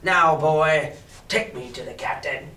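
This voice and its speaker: A man's voice: fairly high pitch, takes it slowly, sharp tone. A man voicing a cruel, sly old blind beggar in a storybook, shifting between wheedling and hard commands. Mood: cruel, commanding. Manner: odd sing-song wheedle that turns to hard commands. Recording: roomy, distant mic with background noise.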